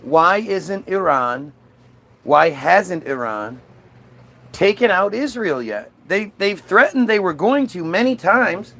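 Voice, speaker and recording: A man's voice: high voice, expressive, takes it slowly, vocal fry, a bit bright. A man in a casual, combative political rant, dismissive and sweary as he answers chat comments. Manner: frequent swearing.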